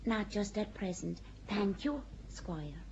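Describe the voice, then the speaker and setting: An actress playing an earnest young peasant girl who speaks plainly and with calm, quiet defiance in a radio drama. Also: medium pitch, takes it slowly, lo-fi sound, slight background noise. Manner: plain-spoken, calmly certain, quietly defiant.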